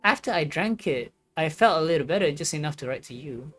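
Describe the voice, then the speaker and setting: A woman streams a game, reading story text aloud and adding halting, musing commentary. Faint game music plays behind her voice. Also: low voice, animated, fairly bright tone.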